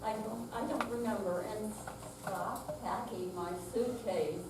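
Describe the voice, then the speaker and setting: Woman acting stubborn old mother, slow and echoey. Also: medium pitch, close to an everyday voice. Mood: defiant. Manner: dry, obstinate, feigning forgetfulness. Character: stubborn elderly mother, evasive, sharp-tongued. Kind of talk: staged play reading. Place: senior center room, live staged reading. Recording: very echoey hall, distant mic, noisy.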